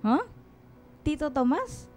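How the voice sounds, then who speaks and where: A woman's voice: high-pitched, very animated, takes it slowly, a little mellow, exaggerated voice. A Filipino woman playing a dutiful but resentful teenage elder daughter in a radio drama. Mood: surprised, accusing. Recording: music behind the dialogue.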